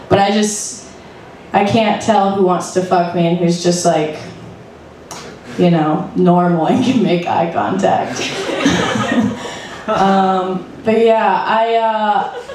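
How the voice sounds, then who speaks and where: A woman's voice: mid-range voice, darkish tone, slight background noise. A young woman doing stand-up at an open mic, rambling loosely and laughing at her own jokes into a handheld stage mic. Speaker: stand-up comic. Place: small club stage with red curtain. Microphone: handheld stage mic, heard through PA. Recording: roomy club PA heard from far off.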